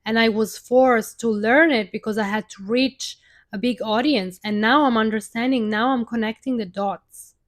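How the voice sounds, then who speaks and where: A young woman speaks English with an Italian accent, her voice sitting a little high. She talks earnestly and unscripted, circling back over phrases and slowing down to lean on key words. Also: steady tone. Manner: earnest, repeats and restarts phrases, slows to stress words. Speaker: live-stream host. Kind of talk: personal storytelling monologue.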